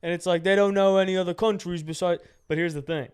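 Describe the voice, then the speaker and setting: A young man from the United States with a somewhat high voice, chatting casually on a podcast. He keeps it loose and slangy and tosses in constant "yeah"s while his co-host talks. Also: fairly even intonation, fast, a little mellow, clear, resonant tone. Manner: laid-back, lots of "yeah" and slang. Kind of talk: casual chat.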